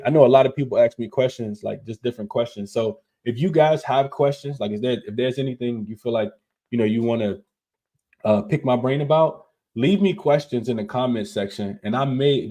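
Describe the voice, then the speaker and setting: A man's voice: medium pitch, dark tone. A man who is losing his voice, hosting a casual sports betting show, talking through his picks with many fillers and repeated words. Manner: filler-heavy, repeats words, quick asides. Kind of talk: casual picks analysis to viewers.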